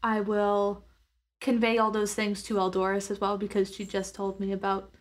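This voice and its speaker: A woman's voice: mid-range voice, monotone, moderate pace. A woman playing a tabletop roleplaying game, chatting casually and describing what her character does.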